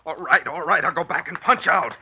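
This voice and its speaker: An actor playing a nervous, evasive young factory worker in 1940s radio drama style. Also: male voice, a little high, brisk, pushed and bright, slightly rough, exaggerated voice. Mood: anxious. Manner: jittery, short evasive answers.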